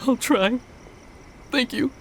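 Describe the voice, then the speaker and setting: Slow, high-voiced meek young man. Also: slight background noise, heavily acted, poor audio. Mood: downcast, hesitant. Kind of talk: video game voice acting.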